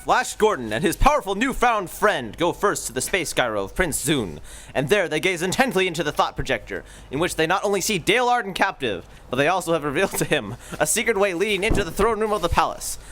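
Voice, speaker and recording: A man's voice: on the high side, big pitch swings, quick pace, fairly bright tone, faint music behind. A man narrating an old-time radio serial live, with dramatic announcer delivery.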